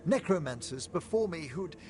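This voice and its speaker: Game necromancer scholar, male actor: older, gentlemanly, anxious thinker, theatrical diction, animated pitch, score underneath. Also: moderate pace, close to an everyday voice. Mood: earnest, reflective. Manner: formal, erudite, theatrical phrasing. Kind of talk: video game cutscene acting. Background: music.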